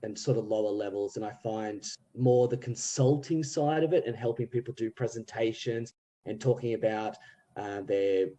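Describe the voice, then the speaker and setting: Brief: male English teacher, warm dark tone, relaxed and conversational. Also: on the low side, normal speed. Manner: relaxed, thinking aloud with fillers. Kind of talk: casual podcast conversation.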